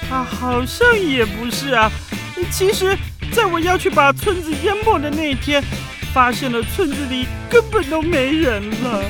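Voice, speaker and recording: High-pitched, lamenting frog prince. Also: female voice, big pitch swings, a little slow, over music. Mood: bewildered, reflective. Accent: Taiwanese Mandarin. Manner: dramatic, lamenting, hesitant. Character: lonely frog prince, self-doubting former flood villain. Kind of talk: children's radio drama acting.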